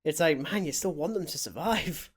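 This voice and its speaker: A man's voice: a little high, expressive, normal speed, slightly rough. A man co-hosting a film podcast, chatting casually and musingly as he comments on a movie.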